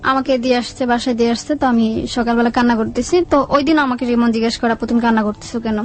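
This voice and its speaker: A woman with a Bangladeshi accent tells a personal story in a radio interview, haltingly, repeating words and quoting what people said. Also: fairly high pitch, fairly even intonation. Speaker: guest telling own life story. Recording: music under the voice. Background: background music.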